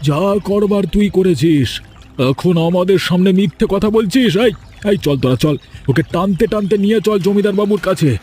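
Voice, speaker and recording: A man's voice: high voice, normal speed, mellow, slight background noise, close to an everyday voice. A man voicing a landlord's loyal, bullying servant in a cartoon, with a vehement, hectoring manner. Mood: angry, threatening. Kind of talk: cartoon voice acting.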